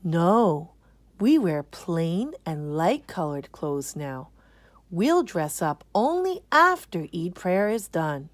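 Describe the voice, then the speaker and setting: A woman's voice: fairly low pitch, sing-song, slow, no room sound. A woman voicing a kindly auntie who patiently explains things to children in a picture book read-aloud. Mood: instructive. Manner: patient, instructive.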